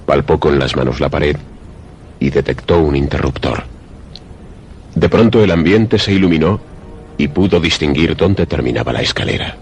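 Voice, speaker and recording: Man, extremely deep voice, measured literary narration, suspense radio play, music behind. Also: a little mellow. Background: music under the voice.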